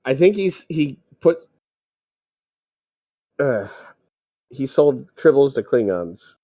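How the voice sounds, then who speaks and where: A man chatting casually as a podcast co-host, explaining things in a halting way. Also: medium pitch, big pitch swings, warm tone, no echo, clean studio sound. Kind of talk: casual film commentary chat.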